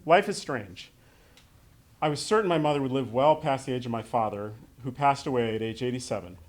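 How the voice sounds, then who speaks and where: A man's voice: medium pitch, a little fast. Heartfelt American man giving a eulogy. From Western New York State, United States. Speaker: son giving opening remarks at a memorial. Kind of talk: prepared eulogy speech to an audience.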